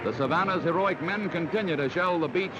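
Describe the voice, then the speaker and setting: High-pitched 1940s American newsreel man. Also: a little fast, very noisy. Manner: dramatic, emphatic declamatory delivery. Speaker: newsreel narrator. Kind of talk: 1940s newsreel narration, scripted. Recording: dull vintage 1940s mono film soundtrack. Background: loud music.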